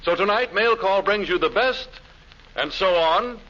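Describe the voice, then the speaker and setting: A man's voice: high-pitched, unhurried. An American man announcing a variety show in 1940s radio style. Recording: muffled old radio recording, loud music behind.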